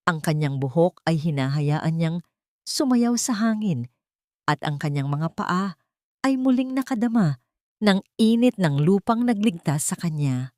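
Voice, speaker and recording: Lowish-voiced female audiobook narrator. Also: expressive, a little slow, warm tone, dry recording, clean studio sound. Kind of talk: dramatic scripted narration of a love story.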